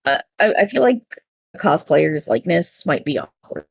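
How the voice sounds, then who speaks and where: A woman's voice: low-pitched, big pitch swings, normal speed, vocal fry, husky, no room sound, studio quality. A woman chatting casually as a podcast panelist, somewhat hesitant and repeating her words.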